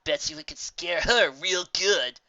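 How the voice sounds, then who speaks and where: A woman's voice: fairly low pitch, a little slow, bright, forceful tone, no echo, dull audio. A woman voicing a lazy, mean-spirited female griffin prankster in a storybook, boastful and scornful. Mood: scheming.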